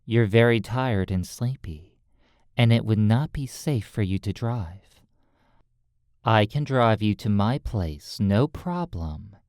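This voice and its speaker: Slow, dry-recorded hypnotist, soothing and deliberate. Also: medium pitch, expressive, a little mellow, dry recording. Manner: soothing, deliberate, pausing between short phrases. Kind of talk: sleep hypnosis roleplay, soft guided narration.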